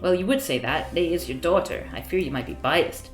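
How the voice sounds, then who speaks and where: A woman reading the lines of a calculating male company president in a visual novel, measured and formal. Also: lowish voice, animated, roomy sound, faint music behind, close to an everyday voice. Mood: cool, formal.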